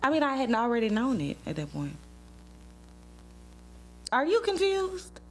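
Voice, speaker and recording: A woman's voice: high-pitched, huge pitch swings, a little slow. A woman in her late twenties chatting informally and teasingly as a podcast host, telling stories and bantering. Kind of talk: casual couple banter and storytelling. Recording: clearly noisy with a hum.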